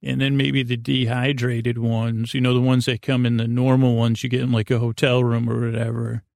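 Creaky-voiced man rambling softly at bedtime. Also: mid-range voice, little pitch movement, no room sound, clean studio sound. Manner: mellow, lulling, halting with many tangents. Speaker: host of a bedtime sleep podcast. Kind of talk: rambling, meandering bedtime monologue.

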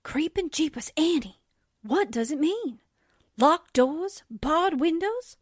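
A woman playing a plucky, slangy young sidekick reporter in a 1940s-style radio drama, breezy and expressive. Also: high voice, slow pace, no room sound, studio quality. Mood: alarmed.

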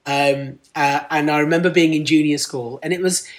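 A man's voice: a little high, moderate pace. Laughing, chatty man in his forties. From Kent, England. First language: Punjabi. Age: 40s. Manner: laughs often, lively storyteller. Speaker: guest. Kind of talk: casual interview chat.

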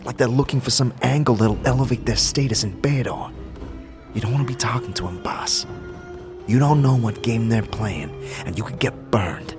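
An actor playing a wary, streetwise sidekick in a fantasy audio drama, with a gravelly voice and slangy, conspiratorial delivery. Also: male voice, medium pitch, moderate pace, close to an everyday voice. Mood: wary, urgent. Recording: music behind the voice.

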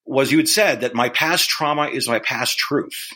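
A man's voice: mid-range voice, normal speed, sharp tone. A man hosting an interview podcast, curious and reflective, thinking aloud with restarts. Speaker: podcast host. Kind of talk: interview chat.

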